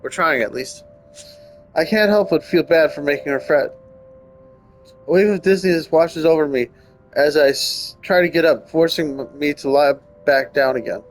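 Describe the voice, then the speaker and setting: A man with a fairly high voice reads story narration aloud for a visual novel playthrough. He slips into casual, wry, nitpicking asides, with music playing behind him. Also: normal speed, low-quality recording. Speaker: let's player reading a visual novel aloud. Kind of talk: reading narration aloud with casual riffing commentary.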